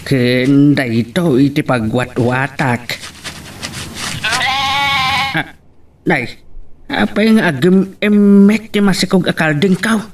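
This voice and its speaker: A man with a Filipino accent playing a gentle, worried elderly rural father in a radio-style drama. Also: fairly high pitch, slow pace, long pauses. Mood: musing. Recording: distant microphone, faint background noise.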